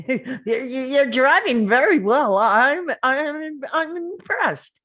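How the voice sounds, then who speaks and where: A woman's voice: high voice, lively intonation, slow, fairly bright tone, highs cut off, heavily acted. A woman reading an awkward, earnest young teenage boy in a screenplay table read, with a hesitant manner. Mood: earnest, nervous.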